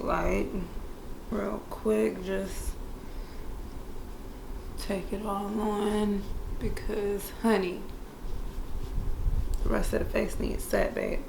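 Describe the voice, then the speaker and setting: Laid-back young woman chatting through her makeup, flat and gravelly, echoey room with some hiss. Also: medium pitch. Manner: laid-back, self-described monotone voice; slangy, playful asides to viewers. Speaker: beauty vlogger. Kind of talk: casual makeup tutorial chat. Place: room indoors. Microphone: camera mic.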